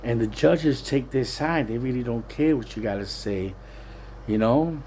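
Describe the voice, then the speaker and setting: Mellow-voiced streetwise man, noisy homemade recording. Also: medium pitch, measured pace. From Puerto Rican, living in Pennsylvania, USA. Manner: repetitive, streetwise, plain-spoken advice and complaint. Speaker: vlogger. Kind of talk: casual rambling monologue to camera.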